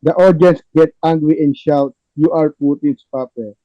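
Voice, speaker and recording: A man's voice: on the high side, fairly even intonation, normal speed, dry recording. A man narrating stage directions in an amateur table read, recorded dry and a little dull. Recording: dull lo-fi, dry close sound.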